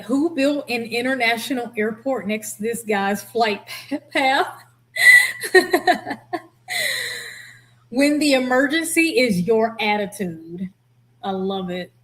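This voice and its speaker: A woman hosting a casual reaction commentary, reading out comments with a blunt, expressive delivery. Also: fairly high pitch. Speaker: host, former air traffic controller.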